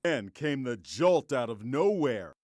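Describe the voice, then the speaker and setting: A man's voice: mid-range voice, very animated, slow, a little mellow, no room sound, clean studio sound. An American man reading scripted news narration as a reporter.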